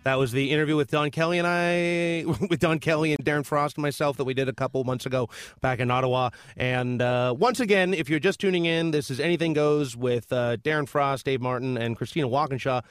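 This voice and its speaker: A man's voice: mid-range voice, fairly even intonation, a little fast, clean tone, dry recording. A man hosting a casual radio talk show in a studio, rambling with many fillers and restarts.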